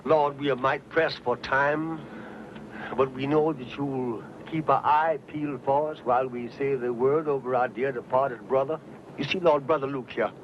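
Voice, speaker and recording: An actor playing an earnest, pious frontier preacher with a Southern US accent and a rustic, sermonizing way of talking, in an old Western. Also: male voice, mid-range voice, fairly even intonation, moderate pace, slight background noise, lightly acted. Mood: solemn, pious. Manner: folksy, sermonizing, rustic speech. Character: folksy frontier preacher, earnest, pious, talkative soul-saver. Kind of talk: Western film acting. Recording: muffled old film soundtrack with music.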